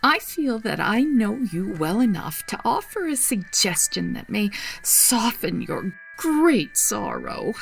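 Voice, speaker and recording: An actress plays a genteel elderly woman in a comedy audio drama. Her creaky voice rises and falls in a polite, hesitant, confiding way, with light music underneath. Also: mid-range voice, very animated, slow pace, full character voice, low-quality recording. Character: genteel old lady with violets, earnest and gentle.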